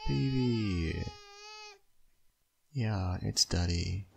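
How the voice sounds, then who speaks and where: Man acting as deep, gravelly, sleepy caring dad. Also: extremely slow, noisy background, exaggerated voice. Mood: soothing baby talk. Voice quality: low, gravelly, husky. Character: caring young father, sleepy ex-boyfriend, gentle and soothing. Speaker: ASMR roleplay performer. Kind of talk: boyfriend ASMR audio roleplay.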